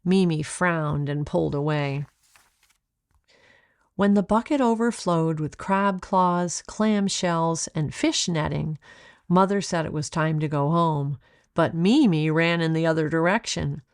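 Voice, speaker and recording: A woman reading her own children's story aloud as a deliberate, expressive narrator, recorded close. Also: low voice, smooth. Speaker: author reading own book.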